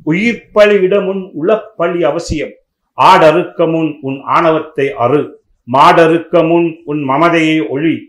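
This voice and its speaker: A man's voice: on the high side, fairly even intonation, slow, a bit bright. A man reciting poetry in emphatic, parallel phrases. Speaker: poet. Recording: dull, echoey room sound.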